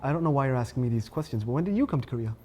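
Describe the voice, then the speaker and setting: A man's voice: medium pitch, expressive, racing, mellow. A man who grew up in the United States chats as a guest, laughing often and restarting his sentences. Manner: chatty, laughs often, many restarts and fillers. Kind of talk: casual interview chat.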